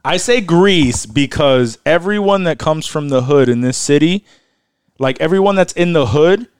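Brief: man, podcast co-host, profane and rambling, slightly high, smooth and crisp, close and dry. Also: expressive, normal speed, no room sound. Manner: profane, rambling, laughs often. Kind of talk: casual chat.

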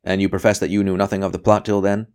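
A man doing a forceful, relentless interrogator. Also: deep voice, level tone, fast talker, clean tone, close to an everyday voice. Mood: pressing, stern. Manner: forceful, clipped, pressing questions. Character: relentless male interrogator, insistent, coolly impatient official.

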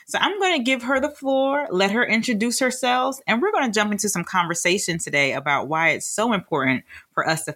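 A woman hosts a podcast interview, speaking in a friendly, encouraging way as she introduces and questions her guest. Her voice sits very low for a woman. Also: pushed and bright. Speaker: podcast host.

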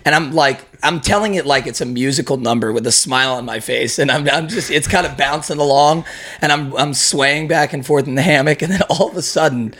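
A man hosting a podcast, his voice hoarse, chatting in an upbeat, mock-grand way with plenty of swearing. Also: a little high, a little fast, a bit bright. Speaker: podcast host. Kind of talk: casual crude banter. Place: room in a house used as studio.

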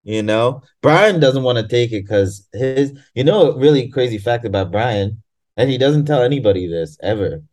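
A man's voice: medium pitch, animated, normal speed, darkish tone. A man from Tanzania chatting casually and animatedly over a video call, laughing and swearing. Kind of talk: casual chat.

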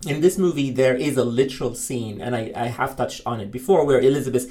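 A man in casual conversation about movies, animated and opinionated, often laughing mid-sentence. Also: mid-range voice, fairly quick. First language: Arabic. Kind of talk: casual film discussion.

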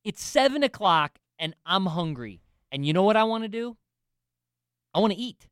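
A man's voice: high voice, expressive, normal speed, fairly bright tone, clear, resonant tone, dry recording, studio quality. A man in finance telling a self-deprecating story in casual chat, with lots of restarts and asides. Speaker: finance professional.

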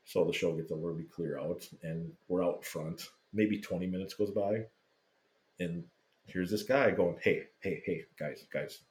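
A man casually telling a lively fan story, swearing freely and acting out what people said. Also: deep voice, unhurried. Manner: animated, swearing, acts out quotes. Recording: roomy echo.

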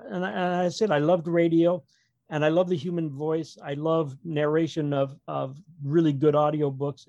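A man's voice: a little high, gravelly, warm tone, dry recording, muffled recording. A man speaking as a guest author in a casual interview, rambling along as a wry storyteller. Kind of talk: casual interview chat about own writing.

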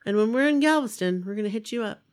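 Hoarse, congested woman co-host, lively pitch, chatty. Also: quick pace. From Maine. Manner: chatty storyteller, laughs often. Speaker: podcast co-host. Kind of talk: casual chat.

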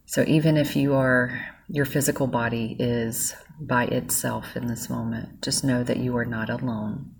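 A woman leading a guided gratitude meditation, speaking softly and soothingly straight to the listener. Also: very deep voice, fairly even intonation, creaky, breathy edge. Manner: soft, slow and soothing, speaking directly to the listener.

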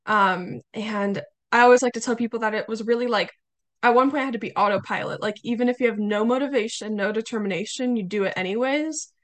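A young woman hosting a podcast interview, her voice slightly hoarse, chatting casually with many fillers. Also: fairly high pitch, quick pace. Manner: chatty, warm hesitations with fillers. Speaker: podcast host.

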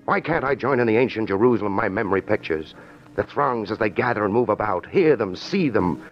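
An actor playing an awestruck reporter in an old-time radio drama, dramatic, halting and full of wonder. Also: male voice, on the low side, expressive, soft background music, close to an everyday voice. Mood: wistful reverie. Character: awestruck male radio reporter transported to ancient Jerusalem. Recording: noisy, dull old radio recording.

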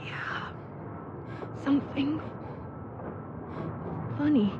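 Halting, pained young woman, acted, slow and muffled. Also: high-pitched. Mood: weak, tearful. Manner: halting, stammering, weak and pained speech. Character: injured young woman anime fan, brave, affectionate, fading. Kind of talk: naturalistic audio drama acting. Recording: muffled, loud vehicle noise. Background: vehicle driving noise.

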